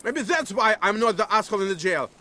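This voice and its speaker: A man's voice: high voice, animated, fast, sharp tone, heavily acted. An actor playing a paranoid, scornful rich man who sneers defensively in a video game cutscene. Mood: sneering.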